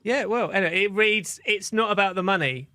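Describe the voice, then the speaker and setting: A man's voice: high voice, fairly quick, sharp tone, dry recording, clean studio sound. A man hosting a news podcast in a conversational, discursive style. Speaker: podcast host.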